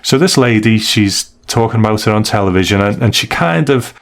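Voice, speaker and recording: A man's voice: on the low side, expressive, a little fast, gravelly. A man co-hosting a podcast, chatting casually and conversationally as he reviews stories, with frequent asides. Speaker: podcast co-host.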